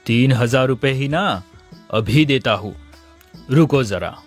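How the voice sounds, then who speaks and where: A man playing a smooth-talking, falsely innocent sheep thief in an animated village story. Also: mid-range voice, slow. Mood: glib, friendly. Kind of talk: Hindi animated moral story dialogue. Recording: music under the voice.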